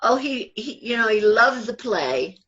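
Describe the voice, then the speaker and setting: A woman's voice: on the high side, very animated, a little slow, fairly bright tone, husky, highs cut off. An older woman talking casually and fondly as an interview guest, sharing memories. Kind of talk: fond reminiscing in a casual interview.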